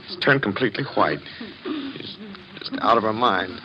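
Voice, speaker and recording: An actor playing a grave man bearing dreadful news in a 1940s radio drama, somber and halting. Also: on the low side, animated, muffled recording, crowd noise, close to an everyday voice. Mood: grave, shaken.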